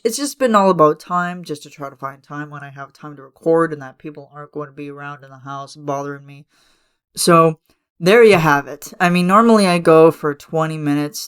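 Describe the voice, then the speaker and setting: A man hosting a podcast from his room at home, hoarse and raspy as he loses his voice, congested and coughing, rambling with lots of fillers and restarts. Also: on the high side, fairly bright tone. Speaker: podcast host. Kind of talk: casual solo podcast chat.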